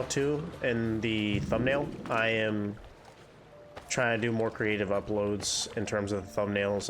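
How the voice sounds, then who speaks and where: A man's voice: on the low side, noisy background. A young man with a congested voice, chatting in a rambling, candid way while hosting a game playthrough. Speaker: gaming channel host. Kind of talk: casual chat during a game playthrough.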